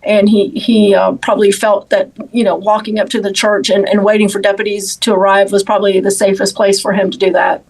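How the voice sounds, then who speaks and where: A woman in law enforcement answering interview questions about an investigation, in a measured, halting way with repeated words. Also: medium pitch, little pitch movement, darkish tone, breathy edge, poor audio.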